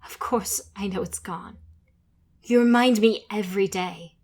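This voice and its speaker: A woman's voice: medium pitch, unhurried, a little mellow, close to an everyday voice. A woman playing a defiant, frustrated young upper-class daughter in an audio drama. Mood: exasperated. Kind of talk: fan audio drama acting.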